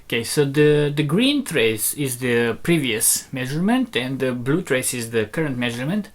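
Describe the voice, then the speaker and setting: A presenter giving an unscripted technical demonstration, explaining step by step in a hesitant, halting way with short fragments. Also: mid-range voice, lively intonation. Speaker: presenter demonstrating audio equipment.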